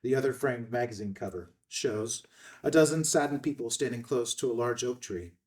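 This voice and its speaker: Male narrator, scripted stage-direction reading, average pitch, slightly off-mic. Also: normal speed, darkish tone. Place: indoor room at a filmed table read. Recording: slightly distant room microphone.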